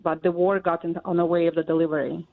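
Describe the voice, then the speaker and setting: A woman in her forties from Russia, speaking English with a Russian accent as an interview guest, halting and full of fillers. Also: on the low side, level tone. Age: 40s. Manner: halting, many fillers and pauses. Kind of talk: interview answers. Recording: tinny phone line.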